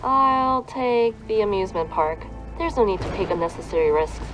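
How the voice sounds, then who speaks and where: A woman's voice: mid-range voice, animated, moderate pace, music in the background, close to an everyday voice, poor audio. A woman acting as a composed Korean soldier in a video game, speaking in a clipped, businesslike, formal manner. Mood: businesslike, firm. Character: composed young female Korean soldier in a zombie apocalypse.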